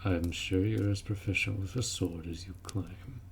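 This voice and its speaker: A man playing a gruff, dutiful orc warrior in a fantasy roleplay audio drama, blunt and protective as he speaks to the listener. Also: deep voice, steady tone, moderate pace, slight background noise. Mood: teasing, blunt.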